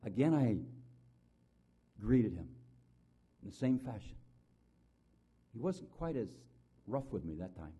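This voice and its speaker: An earnest male preacher with a husky, mellow voice. He swings from strong, loud lines to soft, low ones to press his point. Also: big pitch swings, takes it slowly, pauses often, highs cut off. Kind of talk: sermon with personal story.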